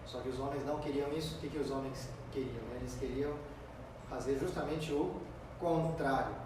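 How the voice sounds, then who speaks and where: Man preaching in an echoey church. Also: mid-range voice. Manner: emphatic, stretches key words slowly, swings loud and soft. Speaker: pastor. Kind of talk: expository sermon. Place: church sanctuary. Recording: echoey church from far off, noisy.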